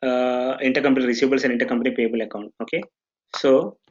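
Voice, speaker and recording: Man teaching software setup, muffled sound. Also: medium pitch, steady tone, quick pace, a little hiss or hum. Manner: explanatory, full of "okay" checks and restarts. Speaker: software trainer. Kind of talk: live online training session.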